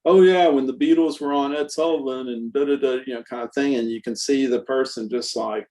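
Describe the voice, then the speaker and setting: Sixties man, loose easygoing storyteller, mid-pitched voice, talks around his point with fillers. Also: brisk, low-quality recording. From Texas. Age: 60s. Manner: rambling, relaxed storyteller with many fillers. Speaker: guest artist and musician. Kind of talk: casual conversational interview.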